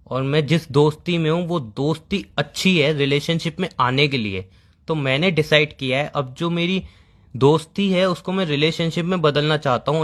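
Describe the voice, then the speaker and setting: A man's voice: fairly high pitch, natural voice. A young man playing an exasperated boyfriend with a flowery, dramatic way of talking in a staged phone-call argument. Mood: solemn. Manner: flowery phrasing, easily irritated.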